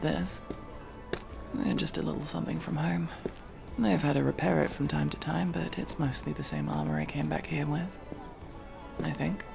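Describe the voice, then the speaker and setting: A man playing a guarded but kind armored adventurer in a fantasy roleplay audio drama, gravelly, dry and gently teasing. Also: a little high, lively intonation, normal speed, over music. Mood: dry, reassuring. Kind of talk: immersive roleplay audio drama, one-sided conversation.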